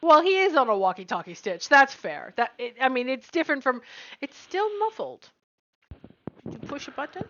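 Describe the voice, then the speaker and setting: High-voiced American woman, muffled stream audio. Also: very animated, normal speed, a bit bright, no room sound. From US West Coast. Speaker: livestream co-host. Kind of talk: casual livestream banter.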